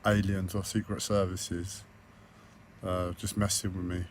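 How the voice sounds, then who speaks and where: Low flat-voiced croaky man. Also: a little slow, a little hiss or hum. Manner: hesitant, many fillers and restarts. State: croaky, hoarse voice. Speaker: vlogger. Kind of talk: personal video diary. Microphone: tablet.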